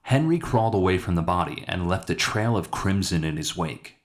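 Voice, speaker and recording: A man's voice: low voice, animated, normal speed. A man reading a scripted dramatic short story aloud as its narrator. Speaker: author narrating his own story.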